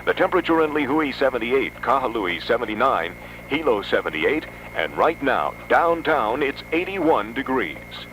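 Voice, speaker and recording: Male news anchor for a 1970s radio bulletin: middle-pitched, measured, formal announcer read, on a noisy old aircheck. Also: very animated. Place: radio studio.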